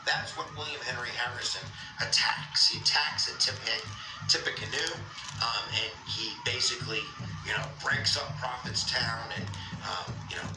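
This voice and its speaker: A man's voice: mid-range voice, moderate pace, over music. Man, rambling history story, lots of fillers, roomy echo, heard from a distance. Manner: rambling, filler-heavy, joking asides. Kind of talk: casual history explainer.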